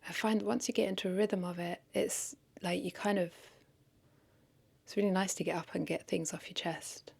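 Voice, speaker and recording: A woman's voice: fairly low pitch, steady tone, moderate pace, creaky, a little mellow, husky. A woman talking casually in an unscripted vlog, rambling and correcting herself as she thinks aloud. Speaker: content creator, vlogger.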